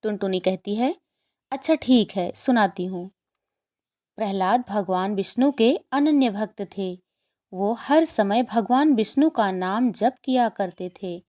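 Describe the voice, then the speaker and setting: A woman's voice: mid-range voice, expressive, unhurried, darkish tone. A woman narrating a scripted children's story as the storyteller.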